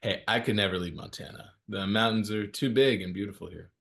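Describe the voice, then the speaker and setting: A man's voice: lowish voice, a little fast, vocal fry, fairly bright tone. A man playing a laid-back, friendly young ski guy in a screenplay table read, casual and teasing. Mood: teasing, easygoing. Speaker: actor at a script table read. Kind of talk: casual naturalistic screenplay reading.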